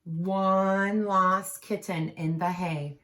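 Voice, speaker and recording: A woman reading a children's storybook aloud with expressive delivery, pausing to prompt young listeners. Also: lowish voice. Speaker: teacher. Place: room at home. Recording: echoey home room.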